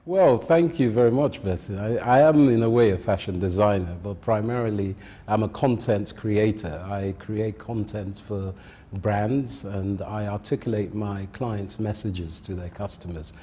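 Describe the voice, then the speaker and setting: A man with a Nigerian accent, a content creator and fashion designer answering interview questions in a measured way, with many ums. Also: fairly low pitch, warm tone, slightly rough, big-room sound.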